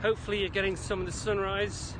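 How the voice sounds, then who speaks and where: British male walking vlogger, notably high voice, cheerful rambling chat, lightly panting, recorded outdoors over clear street noise. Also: level tone, fast. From Isle of Man. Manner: chirpy, chatty, jokey, quaint British slang. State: slightly out of breath from brisk walking. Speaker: vlog host. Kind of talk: rambling walking vlog to camera. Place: outdoors along a city creek. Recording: noisy outdoor recording, city traffic ambience.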